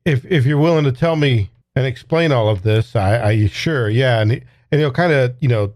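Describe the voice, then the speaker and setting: Mid-pitched man playing a tabletop game. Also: normal speed, darkish tone, no echo, studio quality. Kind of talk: improvised in-character role-play and action description.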